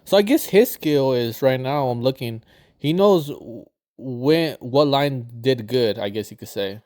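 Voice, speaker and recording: A man talks through a clip in loose, rambling slang, swearing freely. He flares into mock outrage, then trails off into fillers. His voice sits at an ordinary middle pitch. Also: animated, unhurried, darkish tone. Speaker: reaction commentator. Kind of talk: casual reaction commentary.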